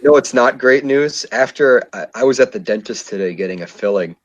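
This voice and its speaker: A man's voice: mid-range voice, expressive, fairly quick, muffled recording. A man in his twenties co-hosting a podcast, chatting casually with lots of fillers and restarts. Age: 20s. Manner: rambling, many fillers and restarts. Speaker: podcast co-host.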